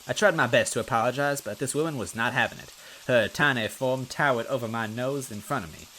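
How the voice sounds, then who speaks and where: A man's voice: medium pitch, fairly quick, bright, forceful tone, slight background noise, rough recording. A man narrating a comic first-person story as a read-aloud. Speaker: story reader.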